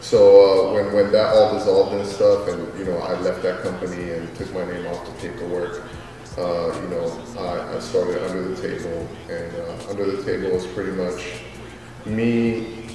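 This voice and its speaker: A man's voice: deep voice, flat delivery, moderate pace. A man in his thirties, a music artist and label owner, answering interview questions in a rambling, casual way with many fillers. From Queens, New York; raised in Los Angeles. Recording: distant mic in echoey reverberant space with crowd.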